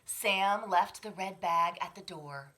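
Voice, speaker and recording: A woman reading a picture book aloud to children, dramatically, moving between hushed whispers and sudden swells. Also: lowish voice, slow. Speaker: children's library story reader.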